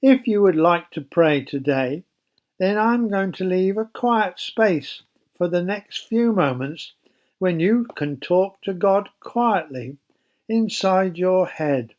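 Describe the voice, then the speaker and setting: A man narrating a Bible story for children as a storyteller, in a simple, measured, explanatory way. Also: high voice, animated, a little mellow.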